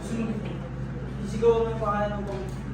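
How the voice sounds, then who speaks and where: A woman's voice: mid-range voice, flat delivery, quick pace, close to an everyday voice. A female student playing an urgent, embittered rebel in a stage play. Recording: echoey hall, far mic, loud noise.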